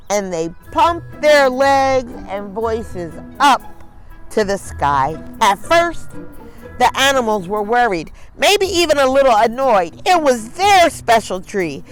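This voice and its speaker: Woman reading a children's story over soft music. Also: a little high, rough recording. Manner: measured, deliberate phrasing with dramatic pauses. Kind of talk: expressive picture-book read-aloud for children.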